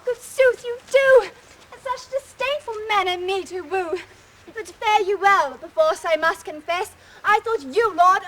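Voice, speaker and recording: A spurned young woman, played by a woman, pleading in tearful old verse. The voice is very high, and clear outdoor noise runs behind her. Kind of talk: Elizabethan verse drama.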